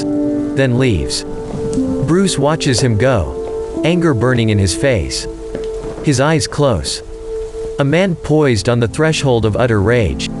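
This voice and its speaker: A male narrator with a mid-pitched voice reads scripted, dramatic scene descriptions. He is telling an audio drama story, with clear music behind him.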